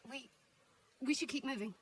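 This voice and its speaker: An actress playing a secretive young adventurer in a video game, with a dry, measured, cagey manner. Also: female voice, sits high, far from the mic, a little hiss or hum, close to an everyday voice. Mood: wary, intrigued. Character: guarded, wry, secretive young woman adventurer. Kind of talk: video game character acting.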